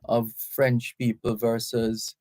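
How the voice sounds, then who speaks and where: A man hosting a live radio interview, speaking in a measured, deliberate way with halting phrasing. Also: mid-range voice, completely flat delivery, a little mellow, no echo. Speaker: radio host interviewing guests.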